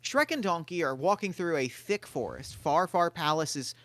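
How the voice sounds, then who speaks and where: A man reading the stage directions in a table read, in a quiet, matter-of-fact way. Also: on the high side, lively intonation, normal speed, no room sound.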